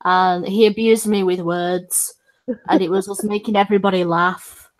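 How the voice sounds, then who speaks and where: A woman's voice: mid-range voice, fairly even intonation, moderate pace. A woman hosting a casual stream chat, teasing her guests playfully and laughing often. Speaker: streamer host.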